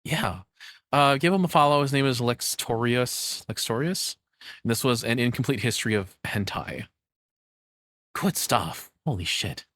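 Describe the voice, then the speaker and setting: A man chatting casually as a livestreamer, rambling and excitable, with informal speech and mild swearing. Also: mid-range voice, unhurried, no room sound, studio quality. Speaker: livestreamer and audio roleplay voice actor.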